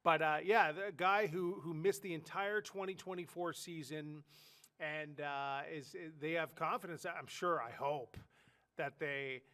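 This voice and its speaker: A man with a higher-set voice talks sports in a loose, rambling way, full of "you know" and "uh" as he circles back on his points. A head cold leaves him sounding stuffed-up and nasal. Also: unhurried, sharp tone. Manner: rambling, lots of fillers and restarts. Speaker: sports podcast co-host. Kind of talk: casual sports talk.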